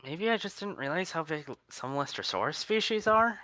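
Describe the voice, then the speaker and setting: A stream host chats over a voice call, asking curious questions one after another. The voice is bright and swings widely in pitch. The sound is dry and close. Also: lively intonation, no room sound. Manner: curious, questioning, quick reactions. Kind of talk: casual chat on a live stream.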